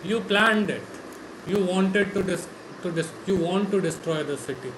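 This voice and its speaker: High-pitched man commenting on a game. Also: moderate pace. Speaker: gamer. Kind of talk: casual gameplay commentary. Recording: roomy echo, background noise.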